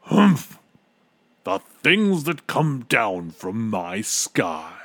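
A man's voice: lowish voice, very animated, very slow, fairly bright tone, slightly rough, dry recording, heavily acted. A man voicing a grumpy, demanding old king who growls and bellows, in a storybook reading. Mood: angry, bellowing.

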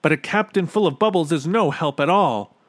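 Man voicing an earnest, high-pitched storybook boy. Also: a little fast, dry recording, natural voice. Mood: determined. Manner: pleading, urgent exclamations. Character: earnest, alarmed young boy hero. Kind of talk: storybook character reading.

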